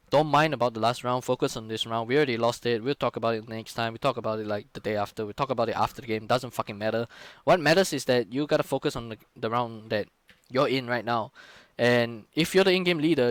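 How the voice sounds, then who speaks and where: Young guy, casual streamer explaining his plays, mid-pitched, dry close mic. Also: clear, resonant tone, dry recording. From Singapore. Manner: casual, frequent swearing and fillers. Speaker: streamer and in-game leader. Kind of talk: casual live-stream game analysis.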